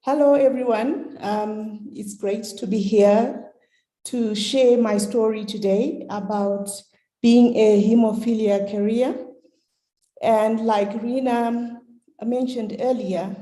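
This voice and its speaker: Middle-aged woman from Zimbabwe, slow and measured, warm mellow tone, roomy sound. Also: a little high, steady tone. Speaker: hemophilia carrier sharing her story. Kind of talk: webinar talk.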